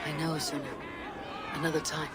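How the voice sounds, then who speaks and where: A woman playing a fierce, proud Viking warrior in a video game, with a husky voice. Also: deep voice, flat delivery, fairly quick, crowd noise, lightly acted. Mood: calm, conceding. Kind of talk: dramatic video game acting. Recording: clear background noise.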